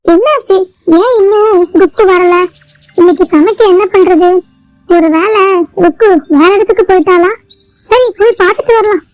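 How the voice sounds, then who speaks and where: A very high, childlike-pitched cartoon bird housewife voiced by a woman, pushy and scheming. She demands, wheedles and threatens like someone used to getting her way. Faint music plays behind her. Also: normal speed, darkish tone, no echo, rough recording. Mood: impatient, demanding. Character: pushy scheming female bird housewife who cheats vendors. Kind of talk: children's cartoon moral story.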